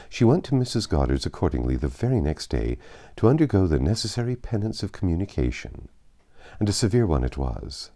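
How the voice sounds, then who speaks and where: Deep, mellow man narrating a classic novel. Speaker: audiobook narrator.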